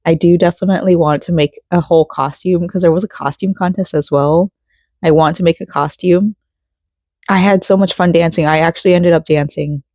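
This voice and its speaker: A hoarse American woman in her thirties talking casually and ramblingly, as in a personal vlog. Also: lowish voice, fairly even intonation, fairly quick, darkish tone, no echo, studio quality. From Florida, United States. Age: 30s. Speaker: vlogger.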